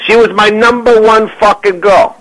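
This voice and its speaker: A man's voice: high voice, fairly even intonation, fairly bright tone, dry recording, pitched above the performer's own voice. A man voicing a brash, swearing middle-aged hustler with a New York accent in a prank phone call. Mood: indignant. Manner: pushy, swearing, drawn-out delivery.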